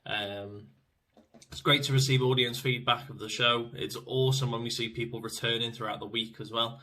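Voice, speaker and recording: A man's voice: medium pitch, steady tone, moderate pace, sharp tone, far from the mic. A man who performs in pantomime, reminiscing casually.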